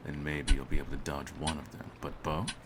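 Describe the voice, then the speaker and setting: A man playing a cold, smug hitman in an audio roleplay, speaking in a theatrical, menacing and deliberate way. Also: rumbling low, level tone, very noisy. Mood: cold, composed menace. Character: cold, smug male hitman holding his target at gunpoint. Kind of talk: scripted ASMR audio roleplay.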